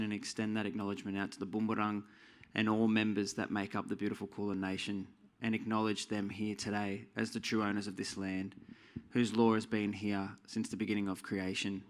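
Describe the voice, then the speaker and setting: A man from Australia hosting a live panel discussion, moving from a soft, reverent acknowledgement into upbeat, enthusiastic introductions. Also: fairly low pitch. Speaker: host.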